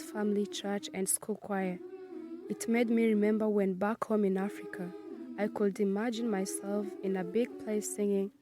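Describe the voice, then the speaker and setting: A nineteen-year-old woman from Congo who grew up in Kenya, reading her personal narrative in a measured, earnest way. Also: mid-range voice. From Congo, raised in Kenya. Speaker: student narrator.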